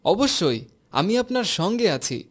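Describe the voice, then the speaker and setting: A man's voice: high voice, big pitch swings, normal speed. A man playing a kindly, supportive village imam in a cartoon. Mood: approving. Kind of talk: cartoon dialogue.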